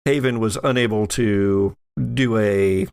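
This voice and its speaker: A man's voice: on the low side, measured pace, a little mellow, clean tone. A man running a tabletop roleplaying game as game master, explaining the rules and story to the players.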